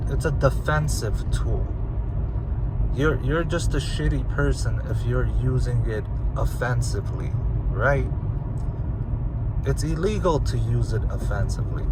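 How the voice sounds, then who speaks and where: Earnest man over loud traffic. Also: medium pitch. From Los Angeles. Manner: earnest, halting, many fillers and restarts. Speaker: vlogger. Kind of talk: casual opinion monologue to camera. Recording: loud street and car noise behind.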